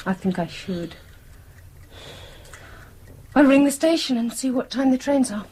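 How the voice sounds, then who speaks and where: An actress playing a troubled, weary but determined British wife in a radio drama, in a subdued manner. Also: female voice, high-pitched, animated, unhurried, dark tone. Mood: upset, subdued. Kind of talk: naturalistic radio drama acting. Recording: clear background ambience noise.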